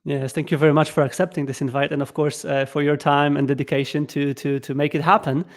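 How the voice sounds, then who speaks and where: A man's voice: on the high side, flat delivery, fast talker. A man hosting an interview show, upbeat, sometimes stumbling over repeated words. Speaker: show host. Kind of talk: casual interview hosting with a scripted intro.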